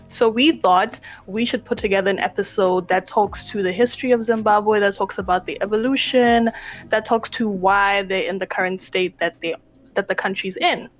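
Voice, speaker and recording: A woman hosting a podcast, upbeat and excitable, talking in a casual, slangy way. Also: mid-range voice, dull audio. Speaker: podcast host.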